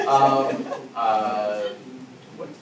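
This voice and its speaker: A man's voice: mid-range voice, wildly swooping pitch, extremely slow. A man in his thirties, a workshop participant, talking about himself with giggly, flustered stumbles. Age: 30s. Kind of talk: casual personal sharing. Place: conference workshop room. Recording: very echoey room, distant mic, loud noise.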